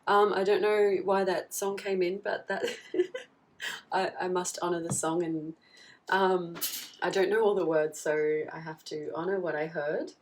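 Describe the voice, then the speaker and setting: Woman, husky post-flu voice, lowish pitch, chatty reader, faint noise behind. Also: fairly even intonation, measured pace, a little mellow. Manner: chatty, often checks in with "okay?". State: husky, getting over a flu. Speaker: tarot reader. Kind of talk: casual tarot reading to camera. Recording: slightly distant mic, faint background noise.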